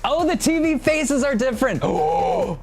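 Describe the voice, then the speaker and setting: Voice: high-pitched, huge pitch swings, measured pace. A game streamer giving casual live commentary with playful reactions and exclamations. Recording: game music behind the voice. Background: video game music and sounds.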